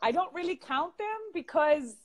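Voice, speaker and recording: A woman's voice: high-pitched, expressive, moderate pace. A woman in her thirties hosting a casual live stream as an online English teacher from the United States, chatting with a guest and laughing often while talking to viewers. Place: small bright room indoors. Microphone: earphone headset mic.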